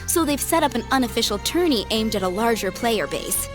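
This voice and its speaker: A woman's voice: fairly high pitch, expressive, fairly quick, lightly acted. A woman voicing a friendly, helpful townswoman in a video game, polite, upbeat and explanatory. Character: friendly, helpful townswoman giving event information. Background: music.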